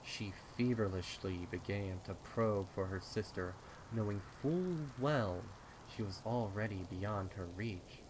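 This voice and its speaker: A man narrating a horror story dramatically, with deliberate, suspenseful phrasing. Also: fairly low pitch, background noise. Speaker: fan fiction narrator.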